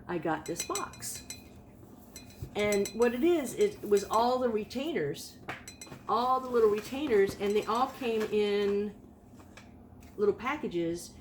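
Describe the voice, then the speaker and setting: A woman's voice: lowish voice, a little mellow, slight background noise. Chatty woman in her late fifties. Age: late 50s. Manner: chatty, rambling, with many fillers and restarts. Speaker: vlogger. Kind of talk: casual personal review.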